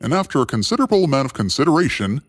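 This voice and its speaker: A man narrating a scripted story theatrically, with ornate phrasing and dramatic, drawn-out pauses. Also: mid-range voice, sing-song, dry recording. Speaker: storyteller and host. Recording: clear music bed behind narration. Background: music.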